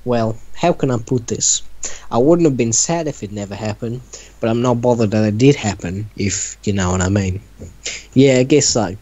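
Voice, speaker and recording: A man's voice: medium pitch, moderate pace, warm tone. Man reading aloud with teasing asides. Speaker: reader in a group reaction show. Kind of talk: casual story reading with banter.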